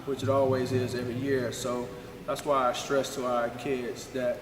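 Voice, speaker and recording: A man who coaches high school basketball answers questions in a plain, repetitive way. He stands some distance from the mic in a room with long reverb. A clear background hiss runs under his voice. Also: little pitch movement, moderate pace. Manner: plainspoken, repeats himself for emphasis. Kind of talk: casual interview. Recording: echoey room, far from the mic, noisy.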